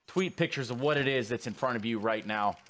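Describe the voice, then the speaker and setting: A man's voice: medium pitch, a little fast, a bit bright. A man calling esports action play by play, with dry, teasing humor between the calls. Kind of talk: play-by-play commentary.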